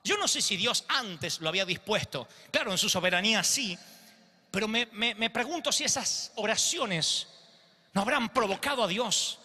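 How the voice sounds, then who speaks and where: A man's voice: high-pitched, expressive, normal speed, bright, forceful tone, slightly rough. A man, preaching a theatrical stage sermon into a handheld mic and acting out the quotes. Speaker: pastor. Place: large glass church auditorium. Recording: long hall reverb.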